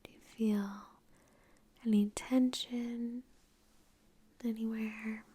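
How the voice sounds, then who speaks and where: A woman guides a meditation in a soft, breathy whisper. Her delivery is very slow and soothing, with long pauses. The tone is dark and mellow and stays nearly flat, right up close to the microphone. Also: fairly high pitch. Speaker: ASMR creator and meditation guide. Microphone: close microphone in front of the face.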